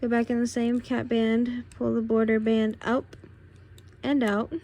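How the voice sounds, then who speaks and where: Young woman, raspy and tired, fairly high voice, relaxed how-to talk. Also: a little slow. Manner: relaxed, talks while working with hands. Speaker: craft tutorial creator. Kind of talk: casual step-by-step tutorial.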